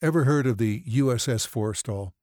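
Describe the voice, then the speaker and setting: A man voicing a gruff, combative family physician with a blunt, authoritative manner, in an audiobook. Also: medium pitch, brisk, a little mellow, dry recording, clean studio sound, natural voice. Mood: confrontational. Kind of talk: audiobook character acting.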